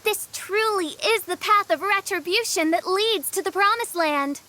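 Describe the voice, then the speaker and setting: A woman's voice: very high-pitched, normal speed, bright, forceful tone. A woman playing a theatrical teenage girl who declaims like a gothic princess, grandiose and archaic, in an anime game. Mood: imperious.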